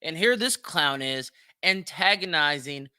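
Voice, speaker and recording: An American man with a high, very forceful voice hosts a solo commentary show. His pitch moves around a lot as he talks. He is blunt, slangy and profane, leaning on repetition and rhetorical questions. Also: lively intonation, a little slow, smooth. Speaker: podcast host. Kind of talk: opinionated solo commentary on celebrity news.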